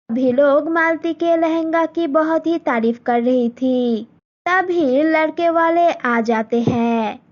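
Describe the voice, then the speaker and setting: A woman narrating a cartoon story in a plain, slightly halting way. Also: high-pitched. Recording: dull lo-fi recording.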